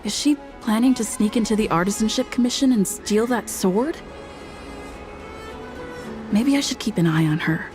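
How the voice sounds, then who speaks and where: A watchful young female game companion, played by an actress, muses in a mid-pitched voice. She sounds questioning and thoughtful, over faint string music. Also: moderate pace, long pauses, darkish tone, lightly acted. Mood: pondering. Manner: musing, questioning. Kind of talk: video game character acting.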